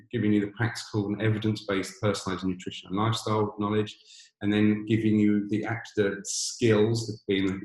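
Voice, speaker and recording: A man with a boomy voice presents a webinar on a video call from an empty borrowed office, talking hesitantly with many restarts and fillers. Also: echoey room, poor audio. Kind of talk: informational webinar talk. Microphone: computer mic on a video call.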